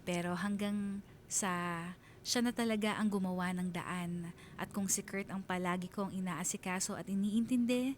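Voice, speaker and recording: Lady playing heartfelt mom narrating her love story on pinoy radio, medium pitch, faint rumble behind. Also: normal speed, close to an everyday voice. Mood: heartfelt, grateful. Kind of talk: dramatised letter narration on radio.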